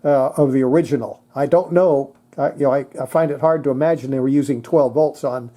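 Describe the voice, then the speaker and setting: A presenter giving a casual hands-on technical demonstration, thinking aloud in a halting way. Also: mid-range voice, fairly even intonation, dark tone. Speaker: presenter demonstrating antique radios.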